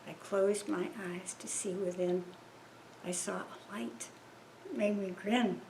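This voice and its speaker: A woman reading a rhyming children's picture book aloud in a measured, rhythmic way. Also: medium pitch, steady tone, a little mellow, reverberant, a little hiss or hum, poor audio. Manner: slow, measured, rhythmic delivery. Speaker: story reader at a church service.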